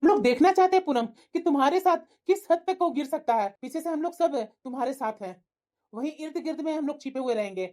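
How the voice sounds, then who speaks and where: High female voice as a reassuring young husband. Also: animated, brisk, mellow. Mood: planning. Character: supportive, resolute young husband. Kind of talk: melodramatic family story dialogue.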